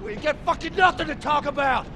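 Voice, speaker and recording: Casting: panicked, hostile smuggler for a game; foul-mouthed shouter, very high and piercing, over action noise. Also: male voice, fairly quick. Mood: panicked, furious. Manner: shouting, defiant. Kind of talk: video game acting.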